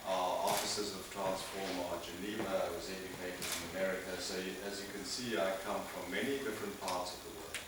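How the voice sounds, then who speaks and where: A man from Zimbabwe giving a public lecture as guest speaker, lively, jumping between loud bursts and quiet asides. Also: deep voice, fairly quick, hardly any pauses. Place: large lecture hall. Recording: echoey lecture hall, mic far off, background noise.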